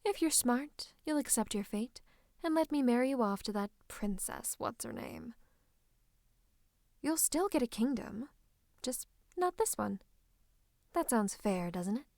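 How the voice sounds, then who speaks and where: A woman's voice: a little high, lively intonation, unhurried, creaky, long pauses, darkish tone, no room sound, clean studio sound. A woman playing a scheming royal advisor and sorcerer in an ASMR roleplay, smug and mock-courteous, slipping into menacing whispers. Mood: coolly threatening. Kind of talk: ASMR roleplay, theatrical monologue to the listener.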